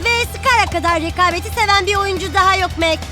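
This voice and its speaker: A woman playing an enthusiastic football match commentator in a cartoon, with a brisk announcing delivery. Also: very high-pitched. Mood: excited. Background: loud music.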